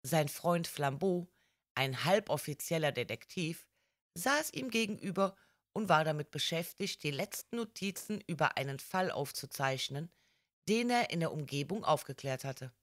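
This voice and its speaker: A woman narrating a story as an audiobook reader, with careful, measured, scripted delivery. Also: sits low, lively intonation, no echo, studio quality.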